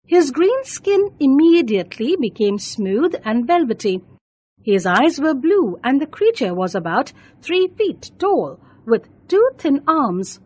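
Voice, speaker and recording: A woman reads a children's bedtime story in a high, lively voice. She takes her time over each phrase, slowly and deliberately, with expressive swings in pitch.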